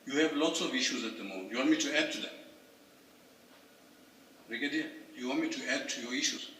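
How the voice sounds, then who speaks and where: A man's voice: medium pitch, brisk, sharp tone, a little hiss or hum. A man speaking as a stern police commander in a confrontational argument, repeating his demands. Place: office, recorded from a distance. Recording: echoey, distant covert office recording.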